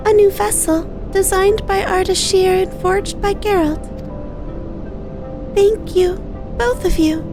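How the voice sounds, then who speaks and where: A woman's voice: very high-pitched, fairly even intonation, slow, long pauses, pitched above the performer's own voice. A woman voicing a small, innocent, curious spirit with formal, wondering speech in a game read-aloud. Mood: wondering, grateful. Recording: music behind the voice.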